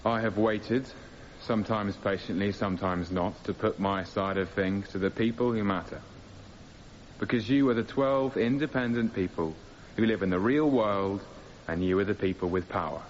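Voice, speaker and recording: An actor playing an earnest male radical on trial, appealing deliberately and intensely to a jury in a radio drama. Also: fairly low pitch, lightly acted. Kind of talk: radio drama courtroom speech. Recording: muffled, hissy radio drama recording.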